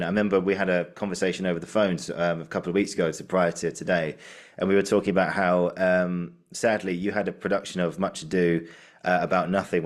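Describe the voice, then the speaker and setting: Low-voiced male podcast host. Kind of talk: casual podcast interviewing.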